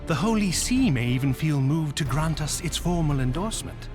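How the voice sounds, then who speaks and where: An actor playing a formal, confident member of a fantasy order in scripted game dialogue. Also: male voice, a little high, lively intonation, normal speed, music in the background, close to an everyday voice. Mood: satisfied.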